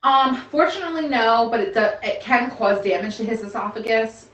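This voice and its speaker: A woman's voice: a little high, a little fast, a bit bright, dull audio. A woman in her early thirties, a mother, chatting casually as a guest, rambling with many restarts. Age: 30s. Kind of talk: casual chat. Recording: roomy, distant mic with faint background noise.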